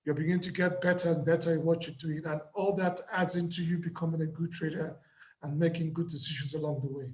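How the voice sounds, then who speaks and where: A man who has lost his voice, hoarse, patiently walking listeners through a live trading lesson and repeating key points. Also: a little high, fairly even intonation, fast talker, darkish tone, echoey room. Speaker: trading mentor.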